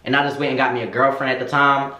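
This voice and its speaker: Young man, vlogger, sassy and candid, slang-heavy talk, mid pitch, recorded in a roomy echoey space. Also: level tone, racing, pushed and bright. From United States. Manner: sassy, candid, slangy, addresses viewers as girl. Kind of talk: casual Q&A chat to camera. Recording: echoey room.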